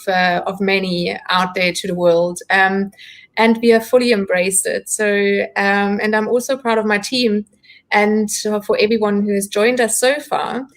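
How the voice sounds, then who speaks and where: A woman hosting an online interview series, welcoming viewers and putting questions in halting, deliberate phrases. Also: mid-range voice, a bit bright.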